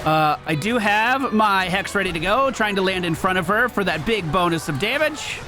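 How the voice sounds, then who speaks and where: Lively, higher-pitched man doing game commentary. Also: moderate pace. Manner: upbeat running narration of own play. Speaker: gaming video host. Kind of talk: gameplay commentary. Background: video game music.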